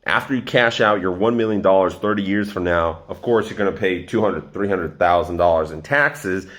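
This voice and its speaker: A man's voice: low voice, moderate pace. Upbeat young man hyping investing. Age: 20s. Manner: energetic, animated, talks straight to the viewer. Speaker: personal finance YouTuber. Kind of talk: casual to-camera explainer.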